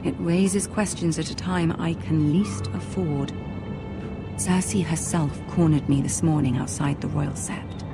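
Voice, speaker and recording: An actress playing a poised, kindly young noblewoman in a fantasy video game, with an English accent and a courtly, measured manner. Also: sits low, steady tone, creaky. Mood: guarded concern. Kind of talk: dramatic video game acting. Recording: music behind the voice.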